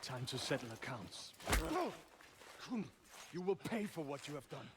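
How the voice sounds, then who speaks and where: An actor playing a vengeful, curt young medieval fighter in a video game cutscene. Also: male voice, medium pitch, animated, a little slow, very noisy, natural voice. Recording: loud music behind the voice.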